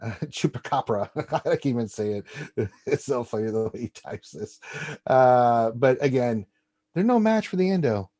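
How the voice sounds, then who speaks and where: A man's voice: medium pitch, moderate pace. A man co-hosting a casual gaming stats show, joking and teasing as he reads out results. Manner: jokey, teasing, animated delivery. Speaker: co-host.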